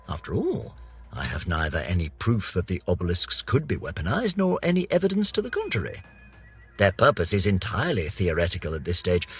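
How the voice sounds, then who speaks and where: An actor playing a pompous, eccentric British gentleman scientist, vain and eager, reading florid journal entries for a video game in a theatrical, precisely worded way. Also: low-pitched, breathy edge, exaggerated voice. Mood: sly, self-justifying.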